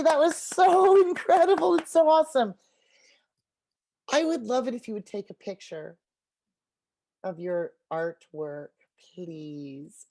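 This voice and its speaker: A woman leading an interactive read-aloud as a teacher and storyteller, encouraging and expressive, speaking over a video call. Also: a little high. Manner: encouraging, expressive, addresses listeners like young children. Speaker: literacy workshop teacher, storyteller.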